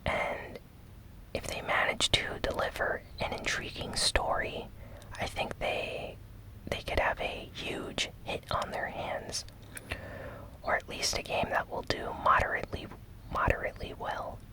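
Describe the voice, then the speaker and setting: This is an ASMR news host who speaks only in a soft, breathy whisper. The pace is very slow and measured, broken into short phrases. The delivery is gentle, in the style of a relaxed recap. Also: low-quality recording. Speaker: host of a gaming news show.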